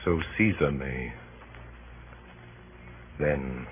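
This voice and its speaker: An actor playing a grave, idealistic Roman conspirator in a vintage radio Shakespeare production, speaking in measured oratory. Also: male voice, very deep voice, exaggerated voice. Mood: brooding. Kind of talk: 1930s-40s radio Shakespeare acting. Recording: noisy, narrow-band old radio recording.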